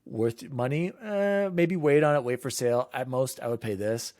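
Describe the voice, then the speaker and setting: A man's voice: medium pitch, normal speed, darkish tone, no room sound, clean studio sound. A man hosting a casual gaming podcast, talking loosely and laughing easily. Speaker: podcast host.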